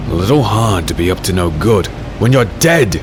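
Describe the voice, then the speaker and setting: An actor playing a grim, haunted, secretive warrior in a dark fantasy audio drama, speaking tersely and dramatically. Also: male voice, fairly low pitch, sing-song, normal speed, over music. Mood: guarded, weary. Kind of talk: dark fantasy audio drama, first-person narration and dialogue.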